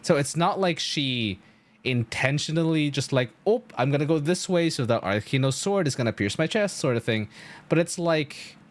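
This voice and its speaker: A man giving casual live-stream game commentary, thinking aloud in a halting, rambling way full of filler words. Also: a little high, lively intonation.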